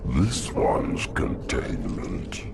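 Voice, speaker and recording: A man voicing an ancient monstrous hive-mind creature in a video game, booming out grandiose, ominous pronouncements in a gravelly voice. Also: wildly swooping pitch, exaggerated voice. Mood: grim warning. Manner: slow, grandiose, riddling pronouncements. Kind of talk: dramatic video game cutscene acting. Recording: loud rumbling noise behind voice.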